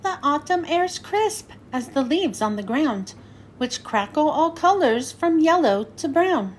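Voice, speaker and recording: A high-pitched woman narrates a children's picture book. Her delivery is slow and sing-song, swinging widely up and down as she follows the rhymes. There is some noise behind her. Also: big pitch swings. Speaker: children's read-aloud host. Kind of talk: scripted storybook narration for children.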